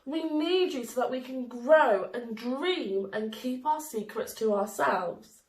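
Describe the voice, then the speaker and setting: A woman's voice: fairly high pitch, slow pace. A woman voicing rejoicing, grateful storybook townspeople in an emphatic, proclaiming manner. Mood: joyful, emphatic. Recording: roomy, echoey indoor sound.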